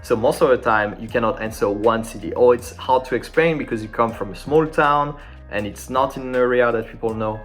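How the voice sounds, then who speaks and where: A man at an ordinary middle pitch explains French conversation tips in English, his second language. He talks casually and with energy, and a little guitar music plays faintly underneath. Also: brisk. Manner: animated and informal, acting out sample dialogues.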